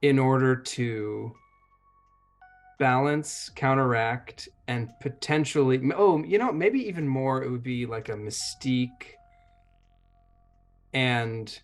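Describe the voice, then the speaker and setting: A man talks as a player in a tabletop roleplaying game, at an average pitch. He thinks aloud in a casual, deliberate way with pauses. Faint music sits behind his voice. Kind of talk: casual game talk, describing character actions.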